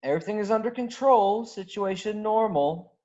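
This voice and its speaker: A man's voice: sits high, normal speed, darkish tone, lightly acted. A man acting a cocky, skeptical smuggler in a table read, over a virtual call. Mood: flustered bluffing. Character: cocky, skeptical male smuggler, gruff but improvising nervously. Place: virtual call from separate location. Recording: dull lo-fi video-call audio.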